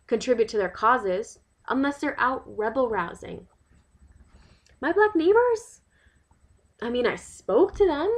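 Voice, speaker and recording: A woman's voice: high-pitched, a bit bright, pitched above the performer's own voice. A woman performing a dramatic monologue as an indignant, worried New York mother, emphatic and exasperated, firing rhetorical questions. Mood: outraged. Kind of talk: dramatic monologue, testimonial to a listener.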